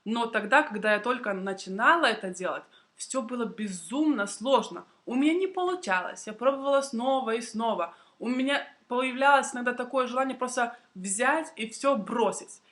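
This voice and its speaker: A young woman, a native Russian speaker, tells a prepared personal story to camera for language learners in a deliberate, clearly phrased, expressive way. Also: on the high side. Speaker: Russian language teacher and video blogger.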